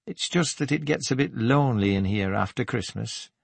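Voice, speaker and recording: A man voicing a friendly, teasing Geordie woman in her twenties, with a lilting, slightly husky voice, in an audiobook. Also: medium pitch, normal speed, no echo. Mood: apologetic, chatty. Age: 20s. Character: young shop woman, teasing, friendly, chatty.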